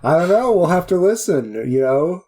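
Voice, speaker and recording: A man co-hosting a casual podcast who talks in a slow, easygoing, laid-back way. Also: high voice, animated, warm tone. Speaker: podcast co-host. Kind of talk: casual chat.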